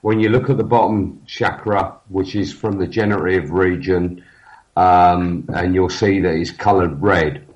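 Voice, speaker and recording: A man, talking casually in a halting way with many fillers and restarts. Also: low-pitched, fairly even intonation. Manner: halting, many fillers and restarts, loses his train of thought. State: has a cold. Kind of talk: casual podcast conversation. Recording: dull lo-fi sound.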